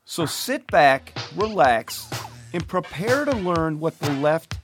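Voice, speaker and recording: A man hosting his own podcast in a chatty, ranting monologue, hoarse and losing his voice from a cold. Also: a little high, sing-song, slow pace, soft background music. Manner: ranting, chatty, with fillers and restarts. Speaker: podcast host.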